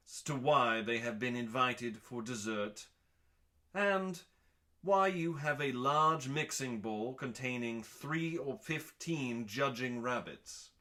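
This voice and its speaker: A man reading scripted comic narration in a mock-dramatic, pompous manner, pausing deliberately for effect. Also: mid-range voice, bright, forceful tone. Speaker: host reading comedic cookbook recipes.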